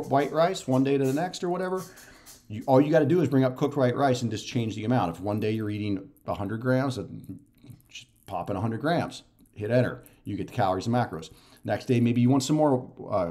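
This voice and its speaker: A man's voice: on the low side, darkish tone. A man in his forties giving a casual instructional talk to camera, going step by step and often checking in with "okay?" or "right?". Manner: repetitive step-by-step explaining with frequent "okay?" and "right?" check-ins. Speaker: fitness and nutrition coach. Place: living room at home.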